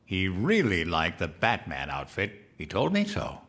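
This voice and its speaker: An actor playing a childlike, eager, guileless president-elect in a comedic audio drama. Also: male voice, deep voice, huge pitch swings, measured pace, fairly bright tone, heavily acted. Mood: naive, eager. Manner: guileless, simple-minded, earnest talk.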